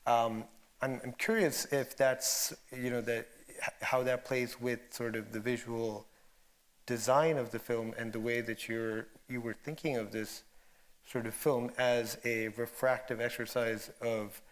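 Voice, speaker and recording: A man with a medium-pitched voice moderates a panel discussion. He frames reflective questions hesitantly, searching for his words as he goes. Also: little pitch movement, measured pace.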